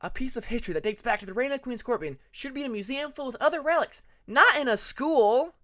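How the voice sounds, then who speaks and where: Man putting on a high, proud young female dragon. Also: animated, fast talker, pushed and bright, full character voice. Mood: defiant. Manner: self-assured, argumentative.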